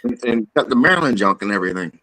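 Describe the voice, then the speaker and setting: Bright-voiced man, halting storyteller. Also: mid-range voice, lively intonation, rough recording. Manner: halting, repeats himself. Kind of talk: casual personal storytelling.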